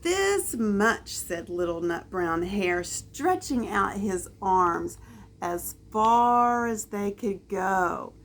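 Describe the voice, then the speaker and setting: A woman reading a picture book aloud to a small child, adding drawn-out, expressive asides. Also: medium pitch. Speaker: storyteller.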